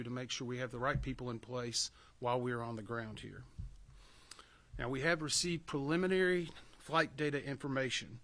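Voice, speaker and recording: A man delivers a formal briefing as an investigation official. His voice sits at a medium pitch. He explains procedure in a measured, orderly way, spelling out terms for the press. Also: a bit bright.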